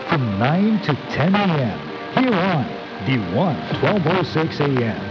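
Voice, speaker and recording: A man's voice: fairly high pitch, very animated, takes it slowly. A man reading scripted promos as a radio announcer. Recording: dull AM radio reception, loud music. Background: loud music.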